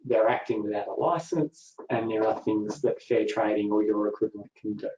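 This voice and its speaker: Mellow-voiced man presenting. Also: fairly low pitch, fairly quick, a little hiss or hum. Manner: steady, explanatory, moderates the session. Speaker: legal aid co-presenter. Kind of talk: webinar presentation. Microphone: video call. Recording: dull echoey webinar audio.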